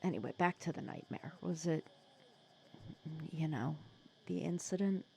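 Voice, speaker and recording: A supervillain nemesis with a gentle, protective streak, played by a woman for an ASMR roleplay. Her voice sits a little low and is very mellow and relaxed. She speaks softly and conversationally, with wry teasing and small hesitations. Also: unhurried, vocal fry, slight background noise, full character voice. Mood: gentle, probing. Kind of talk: ASMR roleplay audio drama.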